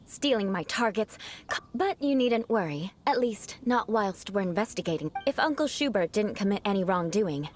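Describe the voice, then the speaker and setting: A woman's voice: medium pitch, lively intonation, moderate pace, slight background noise, natural voice. A woman playing a proud, vengeance-obsessed young noblewoman warrior in a video game, speaking haughtily and formally. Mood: cool, imperious. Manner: haughty, formal, clipped threats with dry sighs. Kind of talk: video game character acting.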